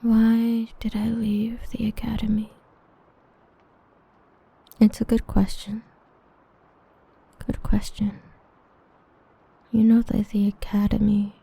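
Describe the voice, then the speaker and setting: A young woman voicing an obsessive, protective half-demon villain in a hushed, intimate ASMR roleplay whisper. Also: mid-range voice, breathy edge, no echo, full character voice, rough recording. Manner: hushed, intimate, slow and brooding.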